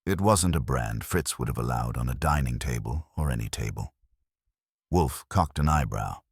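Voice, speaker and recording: Really deep guy reading a detective story, wry and hard-boiled, lively pitch swings, dry sound. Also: moderate pace, breathy edge, dry recording. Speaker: audiobook narrator. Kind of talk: first-person detective story narration.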